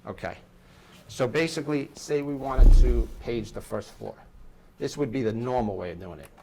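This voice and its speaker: A man with a mid-pitched voice runs an informal technical walkthrough for staff. He explains things step by step and repeats short phrases as he goes. There is light background noise in the room. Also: moderate pace, vocal fry. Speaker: installer training staff on an intercom system. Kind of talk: informal hands-on product demonstration. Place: indoor room at a workplace. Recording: noisy room, ambient background hiss.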